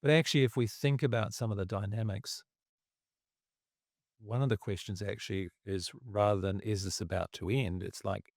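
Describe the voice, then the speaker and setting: A man, losing his voice, talking through markets in a casual, halting way and clearing his throat often. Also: fairly low pitch, steady tone, no echo, clean studio sound. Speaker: investment commentator.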